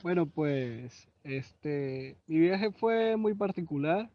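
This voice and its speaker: A man with a Venezuelan accent, an interview guest telling his own journey in a measured way. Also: fairly high pitch. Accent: Venezuelan Spanish. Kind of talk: storytelling in an interview. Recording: dry, dull lo-fi audio.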